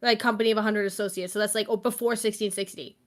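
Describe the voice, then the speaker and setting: A woman's voice: mid-range voice, fairly even intonation, fast. A young woman from Quebec, a native English speaker with a Quebec-tinged accent, co-hosting a casual podcast chat and explaining at length with many fillers and asides. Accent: Quebec French-tinged English. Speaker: podcast co-host.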